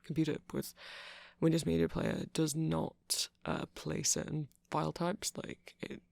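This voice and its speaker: A man playing a nerdy, friendly vampire shopkeeper in an ASMR roleplay, soft-spoken and gravelly, rambling hesitantly as he explains tech. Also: fairly high pitch, little pitch movement, measured pace, dry recording, heavily acted. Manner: rambling, hesitant, self-conscious geeky explaining.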